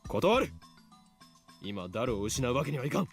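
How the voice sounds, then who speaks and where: Rapid, pompous young mad scientist. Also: male voice, mid-range voice, sing-song. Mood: alarmed, indignant. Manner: pompous, dramatic declarations. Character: theatrical self-styled mad scientist, young man, paranoid and grandiose. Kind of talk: Japanese visual novel voice acting. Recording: music bed behind the voice.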